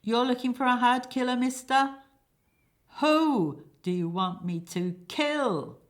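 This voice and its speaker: Woman as comic hired killer, brash, emphatic, salesman-like, loud, pitched well up. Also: very animated, unhurried. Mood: menacing, emphatic. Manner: emphatic, salesman-like, punching key words. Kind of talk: comic stage play script reading.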